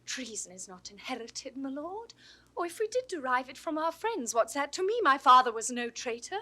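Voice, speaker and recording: Proud young british noblewoman, high voice swooping all over, very theatrical, old shakespeare play. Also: sing-song, moderate pace, sharp tone, exaggerated voice. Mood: defiant pleading. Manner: theatrical, impassioned, eloquent. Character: proud, passionate young noblewoman, the banished niece. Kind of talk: 1960s Shakespearean audio drama acting.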